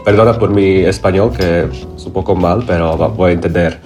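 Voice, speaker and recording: A man from Croatia, a playwright, speaking haltingly in an as an interview guest, often searching for words. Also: deep voice, steady tone, music in the background. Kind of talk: interview conversation.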